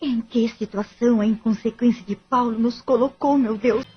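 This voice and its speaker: A woman plays a caring middle-aged mother in a radio play. Her voice is fairly high and husky, burdened with worry. The sound is a little muffled. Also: steady tone, moderate pace. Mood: worried. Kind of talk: audio drama acting. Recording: muffled, lo-fi audio drama.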